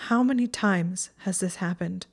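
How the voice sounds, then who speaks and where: A woman's voice: fairly low pitch, measured pace, gravelly, husky, heavily acted. A woman voicing an anguished, guilt-ridden and fierce young female student in an audiobook, urgent and insistent. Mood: distraught, urgent.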